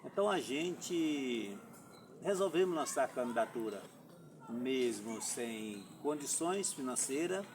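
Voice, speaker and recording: A man in his fifties from Alagoas, a former mayoral candidate, telling his story slowly and deliberately in a podcast interview, with halting and formal phrasing. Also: mid-range voice, lively intonation, recorded from a distance. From Alagoas, Brazil. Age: 50s. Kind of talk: podcast interview, personal account. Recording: voice from off-mic with clear background noise.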